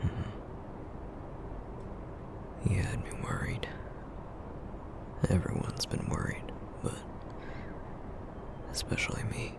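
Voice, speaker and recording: A man voicing a gentle sorcerer boyfriend with a Southern accent, speaking softly and hesitantly with many fillers in an ASMR roleplay. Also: lightly acted. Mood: soft whisper. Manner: soft, hesitant, halting with fillers. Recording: lo-fi phone-like sound with loud noise.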